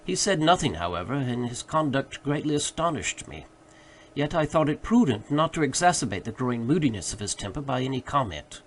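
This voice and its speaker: A man reading literary fiction aloud as an audiobook narrator, with expressive, scripted storytelling delivery. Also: mid-range voice, a little fast. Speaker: audiobook reader. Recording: faint background noise.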